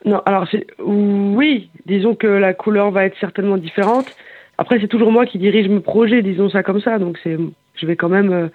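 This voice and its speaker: This is a singer heard over a tinny, phone-like line with a dry sound and no room echo. The voice sits at a middle pitch. The singer talks haltingly, pausing often to search for words. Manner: hesitant, searching for words, many fillers. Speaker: singer and bandleader. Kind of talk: phone interview.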